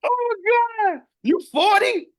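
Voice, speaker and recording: A man in his late thirties hosting a casual interview, animated and preachy, dropping to a hush between loud bursts. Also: very high-pitched, measured pace. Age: 30s. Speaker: podcast host.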